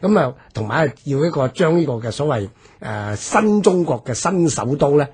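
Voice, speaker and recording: A man's voice: a little high, expressive, normal speed, a little mellow, slightly rough. A man with a Hong Kong Cantonese accent giving explanatory commentary in a radio discussion.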